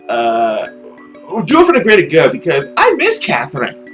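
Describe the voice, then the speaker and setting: A man's voice: on the high side, huge pitch swings, moderate pace, fairly bright tone, faint music behind. A young man hosting a game playthrough with casual commentary. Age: young adult. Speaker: gaming video host. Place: small room indoors.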